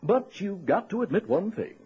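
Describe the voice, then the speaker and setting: An actor playing a wry, self-pitying man of about thirty in a radio comedy-drama, with a husky voice and hesitant, sardonic delivery. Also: sits high, big pitch swings, normal speed, dark tone, heavily acted. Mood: bitter, sardonic. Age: 30s. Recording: dull lo-fi old radio recording.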